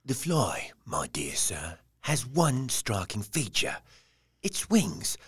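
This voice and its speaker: An eccentric male asylum patient, speaks in a husky, breathy hush. He is slow and pedantic, with a condescending precision. It is audio drama acting in a dry recording. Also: mid-range voice, big pitch swings, dry recording, full character voice. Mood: lofty, secretive. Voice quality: hushed, breathy.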